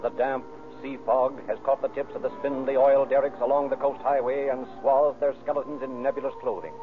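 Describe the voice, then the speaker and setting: A man's voice: mid-range voice, flat delivery, a little fast. A man announcing and narrating in formal, theatrical, emphatic phrasing, in 1930s radio style. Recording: tinny old radio recording.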